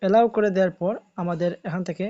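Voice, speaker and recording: A man's voice: sits high, steady tone, racing, dark tone, dry recording, highs cut off. A man narrating a how-to video as a tech host, in repetitive, instructional step-by-step phrasing.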